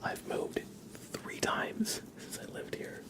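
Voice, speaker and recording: ASMR streamer, whispered voice, very slow pace, soft casual rambling, livestream chat.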